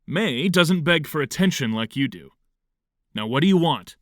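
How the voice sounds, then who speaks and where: An actor in an audio drama playing a stern, impatient commune leader with a curt, no-nonsense manner. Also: male voice, a little high, expressive, moderate pace, a bit bright, no echo, clean studio sound. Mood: irritated.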